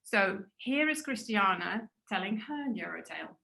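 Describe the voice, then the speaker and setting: A woman hosting an online talk event, introducing speakers and asking questions in a warm, chatty way. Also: medium pitch, expressive, normal speed, gravelly. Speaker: event host.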